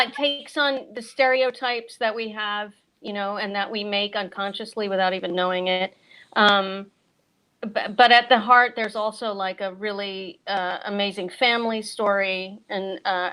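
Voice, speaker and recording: A woman's voice: medium pitch, steady tone, moderate pace, bright, forceful tone, smooth. Chatty middle-aged American woman. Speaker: bookseller, author and guest. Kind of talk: casual chat on a virtual event.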